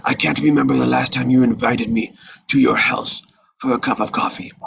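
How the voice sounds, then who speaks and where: A heavily husky, creaking old mafia godfather. He weighs every word with slow reproach and measured menace, as in a crime film script read aloud. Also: medium pitch, steady tone, fairly bright tone, full character voice. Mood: quiet reproach. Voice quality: husky, gravelly rasp. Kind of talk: live table read of a film screenplay.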